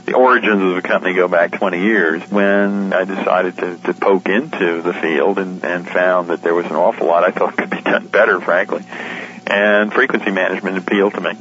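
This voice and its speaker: A man's voice: sits low, steady tone, brisk, fairly bright tone. A man speaking candidly and colloquially as a guest in an informal radio interview. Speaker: editor and publisher, interview guest. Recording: dull, lo-fi radio interview recording.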